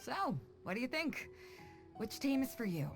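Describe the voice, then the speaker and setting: A woman's voice: high-pitched, sing-song, normal speed. A voice actress playing a proud, confident female sea captain with a commanding, teasing way of talking in a video game. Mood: confident, instructive. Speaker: ship captain character. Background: background music.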